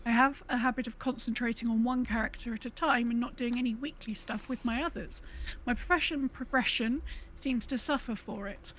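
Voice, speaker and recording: Female co-host, slightly high pitch, informal podcast chat, noticeable ambient noise in the recording. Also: fairly even intonation, a little fast, fairly bright tone. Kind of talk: casual gaming podcast chat. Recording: clearly noisy background ambience.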